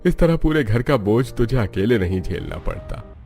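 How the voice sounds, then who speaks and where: A man's voice: medium pitch, animated, normal speed, darkish tone, lightly acted. A man performs an elderly, ailing father in a cartoon drama. He sounds helpless and regretful. Faint music runs underneath. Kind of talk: cartoon family drama dialogue.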